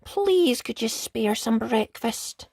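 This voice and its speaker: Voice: medium pitch, animated, slow pace, gravelly, a little mellow, husky, no echo, pitched above the performer's own voice, heavily acted. A storyteller voicing a poor young boy who is eager, earnest and polite in a storybook. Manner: exclaiming, earnest.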